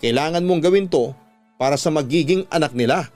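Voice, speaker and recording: Lalaking gumaganap na istrikto at walang simpatyang ama. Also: male voice, on the high side, brisk, a little mellow, lightly acted. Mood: commanding. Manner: commanding. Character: stern, unsympathetic father of grown daughters. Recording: music bed under the voice.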